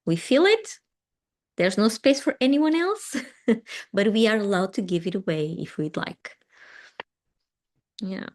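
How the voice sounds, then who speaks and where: A woman who is not a native English speaker leads a live meditation session. She answers chat comments gently, with frequent small laughs and pauses. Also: medium pitch, animated. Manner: gentle and affirming, laughs often, with pauses and ums. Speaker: meditation teacher.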